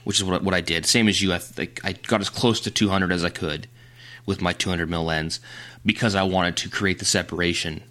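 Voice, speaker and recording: A man's voice: sits low, fairly even intonation, fairly quick, fairly bright tone. A man co-hosting a photography podcast, chatting casually and teasing his partner, with lots of fillers and restarts. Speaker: podcast co-host. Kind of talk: casual chat.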